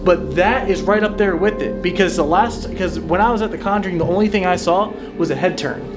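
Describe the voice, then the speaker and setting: A young man hosting a ghost-hunting trip, chatting excitedly and rambling as he swears and repeats himself. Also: sits high, fairly quick, over music. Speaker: paranormal channel host. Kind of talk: casual excited chat.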